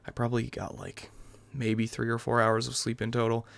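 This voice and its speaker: Gravelly young American man gaming. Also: medium pitch, completely flat delivery, moderate pace. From New York, United States. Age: young adult. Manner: rambling, self-qualifying. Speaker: gaming channel host. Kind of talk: casual let's-play commentary.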